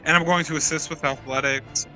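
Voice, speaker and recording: A man's voice: a little high, fairly even intonation, a little fast, bright, forceful tone. A man playing a tabletop roleplaying game, casually talking through his moves. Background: faint background music.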